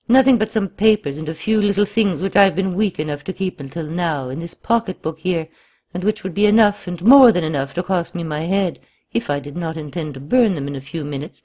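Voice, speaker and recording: A desperate, murderous crippled man, as a woman narrator puts him on, swinging wildly from begging to bellowing threats. The voice sits husky and breathy, pushed up high and loud at its peaks. It comes across as an audiobook reading. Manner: wild swings from pleading to shouting.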